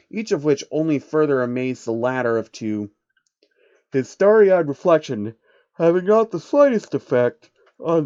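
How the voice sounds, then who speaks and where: A man narrating a comedic fan story in a deadpan way, with wry asides. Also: medium pitch, no room sound, muffled recording.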